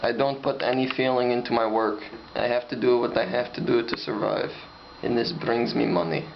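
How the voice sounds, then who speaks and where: Cold, flat, creaky trafficker, acted by a man. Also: medium pitch, normal speed, close to an everyday voice. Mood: cold, detached. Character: cold, matter-of-fact male human trafficker. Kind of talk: student-acted mock interview. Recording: dull, lightly noisy lo-fi recording.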